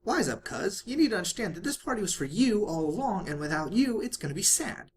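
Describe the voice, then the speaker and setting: A storybook reader voicing a hyperactive, bubbly young female cartoon pony, excitable, playful and coaxing. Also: medium pitch, a little fast, voice pushed up, close to an everyday voice. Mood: pleading, insistent.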